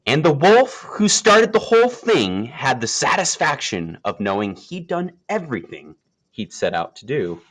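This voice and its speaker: Male narrator, children's read-aloud, animated pitch swings, medium register, expressive storytelling, deliberate phrasing. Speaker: storybook reader.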